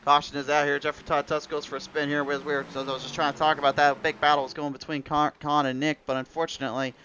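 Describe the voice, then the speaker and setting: A man's voice: a little high, little pitch movement, quick pace, bright, forceful tone, highs cut off, a little hiss or hum. A man giving rambling live play-by-play commentary on a racing league broadcast, a little under the weather, his voice cutting out at times. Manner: rambling play-by-play with restarts and fillers. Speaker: race commentator.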